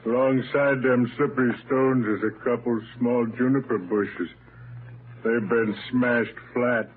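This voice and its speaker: A man's voice: medium pitch, fairly even intonation, unhurried, natural voice. An actor playing a plain-spoken, folksy old woodsman in a 1930s radio drama. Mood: matter-of-fact. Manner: folksy, ungrammatical, matter-of-fact. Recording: tinny, noisy 1939 radio broadcast.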